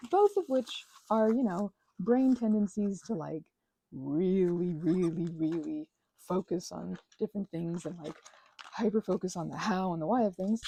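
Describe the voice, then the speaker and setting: A woman chatting casually in a rambling, digressive way to her audience, animated and poking fun at herself. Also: medium pitch, gravelly, husky. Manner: animated, self-mocking, digressive. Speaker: hobbyist creator talking to her audience. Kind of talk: casual rambling chat, ASMR channel.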